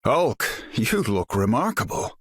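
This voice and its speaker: An actor playing a proud, battle-ready sorcerer hero in a video game, speaking in a grandiose, formal, theatrical manner. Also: male voice, mid-range voice, sing-song, unhurried, fairly bright tone, breathy edge, exaggerated voice. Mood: dry, conversational. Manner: grandiose, formal, incantatory delivery. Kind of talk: video game character voice lines.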